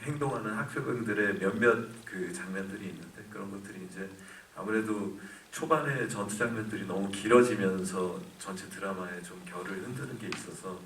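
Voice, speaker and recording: A man answering questions at a film Q&A, speaking in a measured, halting way with many fillers. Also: lowish voice, fairly bright tone, slight background noise. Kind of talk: live Q&A answer. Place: cinema auditorium. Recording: echoey cinema from far off.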